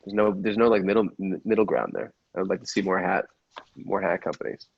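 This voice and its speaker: A man's voice: deep voice, brisk, creaky, breathy edge, no echo, lo-fi sound. A man in casual, rambling conversation, swearing now and then as he talks. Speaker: podcast cast member. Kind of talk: casual chat.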